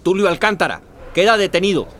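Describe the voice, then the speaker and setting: Radio drama policeman: stern, official, raised male pitch. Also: animated, quick pace, fairly bright tone, slight background noise. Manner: formal, stern.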